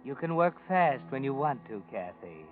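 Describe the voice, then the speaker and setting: A man's voice: mid-range voice, very animated, moderate pace. Knowing male narrator, tinny old broadcast with music underneath. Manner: knowing, probing. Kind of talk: second-person radio drama narration.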